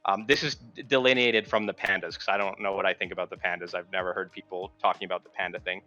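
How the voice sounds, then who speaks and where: Low bright man on a dull mic. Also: fairly even intonation, fast talker, faint music behind. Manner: rambling, swears casually. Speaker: panel guest. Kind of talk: casual online discussion.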